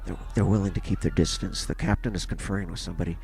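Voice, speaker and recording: A man's voice: sits low, steady tone, fast, darkish tone. An actor playing a male radio field reporter describing events live, in breathless old-time radio drama style. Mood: tense. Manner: breathless eyewitness narration. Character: male radio reporter at a crash site, panicking. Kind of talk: 1930s radio drama acting. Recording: noisy old radio recording.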